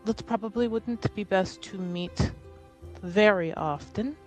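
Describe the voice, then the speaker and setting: A player voicing a composed, formal noble who is pragmatic and accommodating, speaking in a measured manner. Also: mid-range voice, faint music behind, natural voice. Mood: businesslike.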